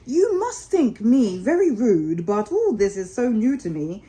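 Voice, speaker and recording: A woman's voice: fairly high pitch, very animated, unhurried, warm tone, low-quality recording. A woman voicing a naive, timid male mole in a storybook, eager and full of wondering questions. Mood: eager, curious. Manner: wondering, questioning, a little nervous.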